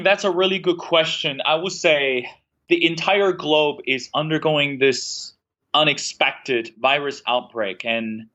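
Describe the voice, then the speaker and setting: Interview guest, man from Beijing, slightly high voice, thoughtful, lo-fi remote audio. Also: pushed and bright. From Beijing, China. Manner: upbeat, thoughtful. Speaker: guest, former journalist. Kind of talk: interview answers.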